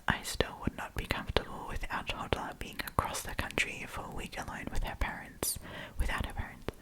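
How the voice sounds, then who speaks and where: Woman doing asmr storytime, all whispered, breathy, chatty and informal. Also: low-quality recording. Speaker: host of storytime series. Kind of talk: ASMR storytelling, reading and commenting.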